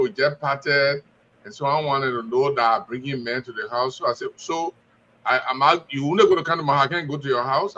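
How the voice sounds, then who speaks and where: A man's voice: mid-range voice, bright, forceful tone, highs cut off, slight background noise. A man on a radio panel, confidently giving his opinions and telling stories with quoted dialogue. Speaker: studio guest panelist. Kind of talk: opinion talk.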